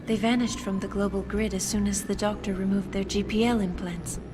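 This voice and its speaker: A woman voicing a composed, cryptic artificial intelligence in a video game, speaking in measured, formal phrases. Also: mid-range voice, fairly even intonation, close to an everyday voice. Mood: calm warning. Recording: loud music behind.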